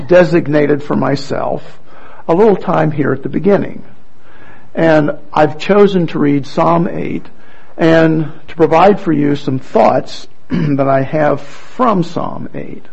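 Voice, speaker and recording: A man preaching a sermon and reading scripture aloud, earnest and halting, with frequent restarts. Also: on the high side, gravelly, a little mellow, husky, lo-fi sound. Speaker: pastor.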